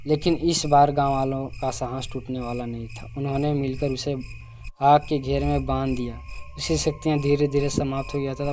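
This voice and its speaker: A man's voice: medium pitch, a little mellow. A man narrating a scripted cartoon folk tale as storyteller.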